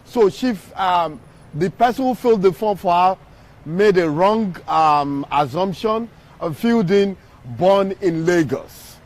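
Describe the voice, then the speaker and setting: A man being interviewed outdoors, explaining slowly with frequent pauses and fillers. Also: high-pitched. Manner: hesitant, many pauses and fillers. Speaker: interview guest. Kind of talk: street interview answers. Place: outdoors on a city street outside a court. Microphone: handheld mic from the streamer.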